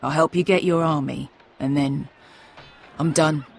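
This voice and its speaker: An actress playing a tough, blunt, wounded mercenary woman in a video game, gruff and bitter in manner. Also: low-pitched, steady tone, a little slow, darkish tone. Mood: resigned. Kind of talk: dramatic video game voice acting. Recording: faint background ambience noise.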